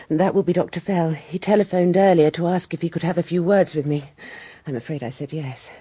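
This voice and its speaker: Husky, genteel British widow. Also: female voice, deep voice, mellow, no echo. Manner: refined, emotive. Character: genteel, proud widow under suspicion, anxious and quick to offend. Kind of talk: British radio detective drama acting.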